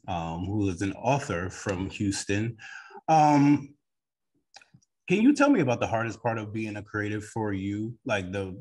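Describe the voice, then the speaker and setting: Guy with a lowish voice doing casual show host interview questions.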